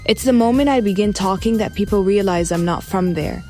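A woman's voice: mid-range voice, lively intonation, brisk, a little mellow, soft background music. Woman reading a personal reflection. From Dubai. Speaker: contributor.

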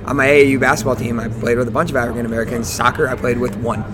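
A young male interviewer asks his questions casually and leans on "like" as filler. His pitch sits in a middling range. Crowd chatter carries behind him. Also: steady tone, very fast. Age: young adult.

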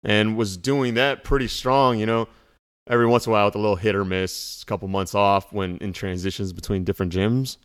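Male guest coach, lowish voice, relaxed friendly conversational tone. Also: a little fast, clear, resonant tone. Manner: friendly, easygoing, says "like" and "you know" often. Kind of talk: casual interview chat.

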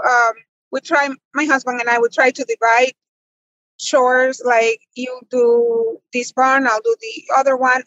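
High-voiced woman in casual interview. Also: fairly bright tone, dry recording, muffled recording. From Dominican Republic. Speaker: guest, pig farmer. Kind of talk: conversational interview answers.

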